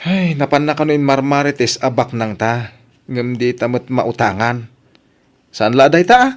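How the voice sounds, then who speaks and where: An actor playing a disgruntled villager passing on gossip in a radio drama, grumbling with an Ilocano accent. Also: male voice, mid-range voice, measured pace, natural voice.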